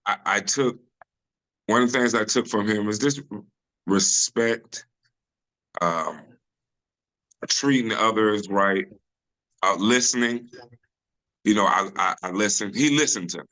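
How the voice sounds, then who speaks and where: A middle-aged man with a deep voice, a comedian guest talking casually and loosely in an interview over a video call, full of "you know" and restarts. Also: measured pace, long pauses, low-quality recording. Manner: relaxed storyteller, many restarts and "you know".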